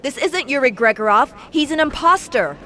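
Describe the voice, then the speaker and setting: A woman's voice: sits high, expressive, normal speed, sharp tone, slight background noise. An actress playing a tough, determined female agent in a game cutscene.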